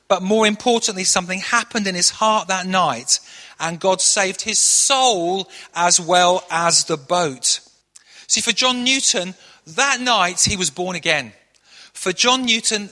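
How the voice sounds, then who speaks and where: A middle-aged man from Manchester preaching to his church in a high, forceful voice with a sharp edge. He tells stories earnestly and eases into quiet prayer. The sound rings with a long reverb off a big hall. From Manchester, England. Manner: earnest storytelling preacher, shifts into hushed prayer. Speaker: pastor. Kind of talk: sermon.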